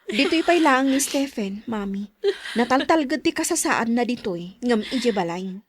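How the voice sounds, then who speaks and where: A woman's voice: fairly high pitch, animated, normal speed, slight background noise, natural voice. A woman playing an affectionate, caring young adult daughter in an audio drama. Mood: concerned.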